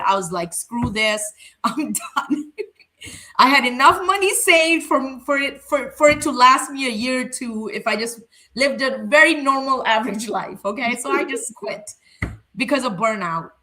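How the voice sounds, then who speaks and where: Woman, family therapist, native Urdu speaker, candid reflective chat, bright voice, mid pitch. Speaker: family therapist and center founder. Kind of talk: casual panel conversation.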